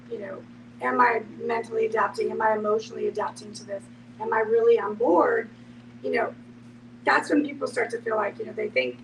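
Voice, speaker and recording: Higher-pitched woman, distant and noisy recording. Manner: explanatory, frequent "you know". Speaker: podcast panelist. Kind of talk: reflective group discussion. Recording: noisy, distant microphone, background hum.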